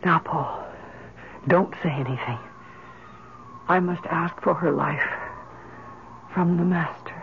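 A woman's voice: fairly low pitch, slow, long pauses. A woman playing a desperate, grief-obsessed mother in an old-time radio drama, with a theatrical, imploring delivery. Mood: fixed, determined. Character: desperate, grief-obsessed mother pleading for her dead child. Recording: noisy, dull old radio broadcast recording.

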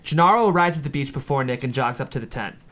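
A man's voice: mid-range voice, animated, fast talker, fairly bright tone. A man reading screenplay stage directions aloud at a table read, with dramatic scripted narration.

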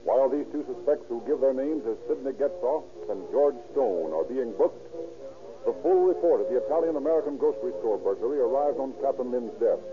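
A man's voice: medium pitch, fairly quick, lightly acted. A man narrating a scripted police case story for an old radio crime drama, in a sober, dramatic style. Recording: tinny old radio recording. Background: music.

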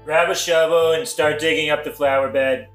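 A man's voice: on the high side, fairly even intonation, moderate pace, a bit bright, close to an everyday voice. Exasperated scheming king played by a man, echoey. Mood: exasperated, stern. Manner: dry, blunt, colloquial. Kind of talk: modern-language satirical audio play acting. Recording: roomy echo, faint music behind.

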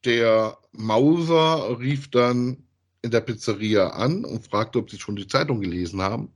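A man's voice: mid-range voice, a little slow, breathy edge. A man co-hosting a casual review podcast, retelling a story in an easygoing, colloquial way. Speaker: podcast co-host. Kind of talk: casual review chat about an audio drama.

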